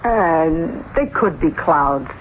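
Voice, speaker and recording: A woman's voice: sits low, expressive, drawn-out words, full character voice. Blunt landlady, muffled recording. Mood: dry, impatient. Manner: blunt, dry, folksy ungrammatical talk. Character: blunt, nosy, matchmaking landlady. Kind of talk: old-time radio drama acting. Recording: muffled, lightly noisy radio drama recording.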